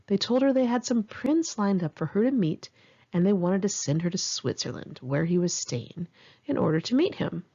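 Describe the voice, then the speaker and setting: Brief: middle-aged woman author, lowish voice, frank and wry memoir read, slightly dull home recording. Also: warm tone. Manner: candid, swearing, wry storytelling. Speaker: author reading her memoir. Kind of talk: one-take memoir audiobook reading. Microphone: home microphone.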